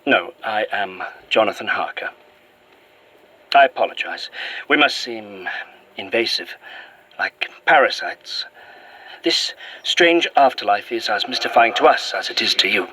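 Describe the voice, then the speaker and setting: A man playing a courteous but haunted Victorian gentleman in a horror audio drama, with formal, old-fashioned diction and an earnest manner. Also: fairly low pitch, animated, a little slow, long pauses, husky, heavily acted. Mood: apologetic, earnest. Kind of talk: found-footage horror audio drama acting.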